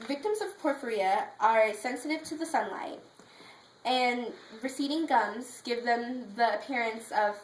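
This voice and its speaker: A woman giving a prepared informative speech with slides, in an explanatory, somewhat halting way. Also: a little high. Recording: echoey room with faint background noise.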